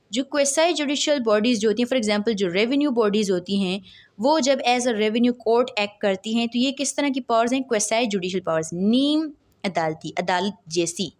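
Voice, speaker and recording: High-voiced Pakistani woman giving a lecture. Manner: didactic, asks and answers own questions. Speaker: law lecturer. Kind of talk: explanatory lecture, reading clauses then explaining.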